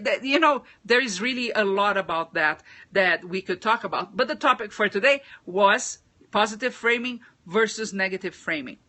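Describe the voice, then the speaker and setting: A woman's voice: medium pitch, pushed and bright. A woman from Brazil with a Brazilian Portuguese accent, giving business communication tips in a casual live stream, thoughtful with frequent restarts. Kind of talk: casual livestream talk.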